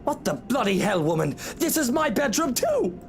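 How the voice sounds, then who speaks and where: A man's voice: very high voice, big pitch swings, moderate pace, heavily acted. A man playing an entitled, flirtatious, melodramatic husband in a visual novel game. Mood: outraged. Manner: indignant, theatrical. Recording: faint background noise.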